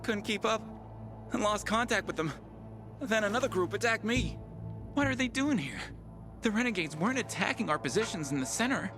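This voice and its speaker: A shaken young-sounding soldier cut off from his squad in a video game. His voice is a little high, guarded and plain, over music. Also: lively intonation, brisk. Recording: music playing behind the voice.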